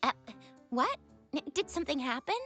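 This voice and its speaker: A woman voicing a frank, teasing young woman companion in a video game, with a casual, lively manner. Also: high-pitched, normal speed, faint music behind. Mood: puzzled. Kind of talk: video game dialogue acting.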